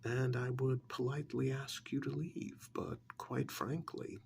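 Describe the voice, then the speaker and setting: A man playing a polite, guarded, elderly small-town museum curator in a soft, breathy, hesitant ASMR role-play. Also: mid-range voice, level tone, a little hiss or hum. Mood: confiding, reluctant. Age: older. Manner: hesitant, deliberate, courteous.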